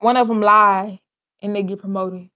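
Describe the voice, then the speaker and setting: A woman's voice: mid-range voice, steady tone, normal speed, sharp tone, no echo, lo-fi sound. A woman co-hosting a podcast, ranting and joking in casual, profane banter. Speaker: podcast co-host.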